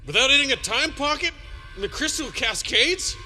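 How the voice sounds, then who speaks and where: High-voiced wry starship navigator. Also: male voice, animated, normal speed, pushed and bright, soft background music, exaggerated voice, poor audio. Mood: urgent. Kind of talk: audio drama acting.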